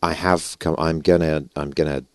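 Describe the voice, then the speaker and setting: A British man with a hoarse, gravelly voice, rambling and joking at himself through casual game commentary on a close stage mic. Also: a bass voice, fairly even intonation, moderate pace, no echo. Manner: rambling, self-mocking, chuckling. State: hoarse, gravelly voice after losing it. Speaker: gaming YouTuber. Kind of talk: casual let's-play commentary. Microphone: stage vocal mic close up.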